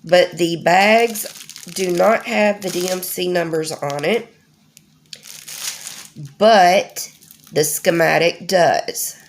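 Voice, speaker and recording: Woman host, middle-aged, nasal with a cold, unhurried homey chatter with plenty of ums. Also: on the low side, slight background noise. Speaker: crafting channel host. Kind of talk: casual unboxing vlog.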